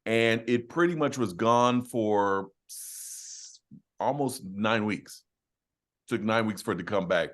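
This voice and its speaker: A man's voice: on the low side, takes it slowly, fairly bright tone. A man, a singer, chatting casually and telling a story with easy laughs. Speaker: a cappella singer and host. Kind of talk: casual chat.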